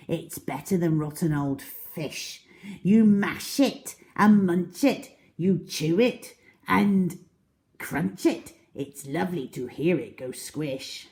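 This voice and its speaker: Woman as enormous storybook crocodile, greedy, boastful, menacing, slow savouring delivery, big swings in pitch. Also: animated, darkish tone. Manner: gloating, relishing each drawn-out word.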